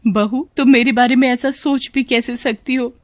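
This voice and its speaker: A woman's voice: a little high, fairly even intonation, normal speed, no room sound, voice pushed up, lightly acted. A woman voicing a scheming stepmother who puts on doting, coaxing sweetness in a cartoon story. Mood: wounded, reproachful. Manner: coaxing, sweet-talking, falsely wounded. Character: scheming stepmother putting on sugary, doting affection.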